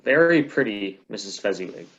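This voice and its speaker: A man's voice: lowish voice, very animated, moderate pace, a bit bright, muffled recording. A man playing a timid, polite, poor young man with a deferential manner in an amateur radio play. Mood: obedient.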